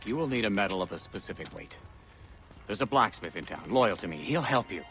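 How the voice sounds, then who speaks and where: A man's voice: fairly low pitch, lively intonation, a little fast, a bit bright, soft background music. An actor playing a pompous older colonial statesman in a video game, with formal, theatrical, blustering delivery. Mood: eager. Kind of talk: dramatic video game acting.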